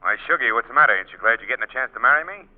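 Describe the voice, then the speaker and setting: A man's voice: medium pitch, expressive, rapid-fire, lightly acted. An actor playing a menacing, cocky gangster with slangy wise-guy talk in a 1940s radio drama. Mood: pushy. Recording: thin, muffled 1940s radio broadcast.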